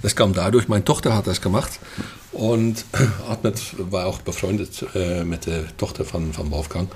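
Older Dutch-accented man, deep and halting, throat-clearing guest in casual chat over light noise. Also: steady tone, darkish tone. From Netherlands. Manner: hesitant, many fillers and throat clearing. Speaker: guest, festival co-manager.

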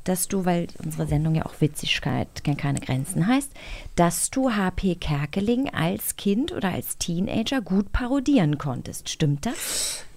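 A woman's voice: fairly low pitch, big pitch swings, measured pace, gravelly, darkish tone. A woman with a German accent co-hosting a talk podcast, casually putting a question to the guest. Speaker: co-host, interviewer. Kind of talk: casual chat.

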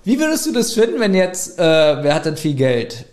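A man's voice: high-pitched, wildly swooping pitch, fairly quick, crowd noise. A man co-hosting a casual podcast, teasing his partner with playful questions and arguments. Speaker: podcast co-host. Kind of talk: casual chat.

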